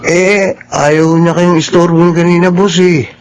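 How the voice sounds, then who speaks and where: A man's voice: on the high side, fairly even intonation, unhurried, darkish tone, clear, resonant tone. A man with a Filipino accent playing a deferential, hesitant funeral parlour worker in a radio horror drama. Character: deferential male funeral parlour underling, hesitant and eager to please.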